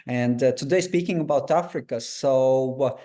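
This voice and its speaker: A man's voice: mid-range voice, normal speed, warm tone. A man hosting a webinar over a video call, talking in a friendly, loose, conversational way. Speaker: webinar host. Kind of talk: webinar interview.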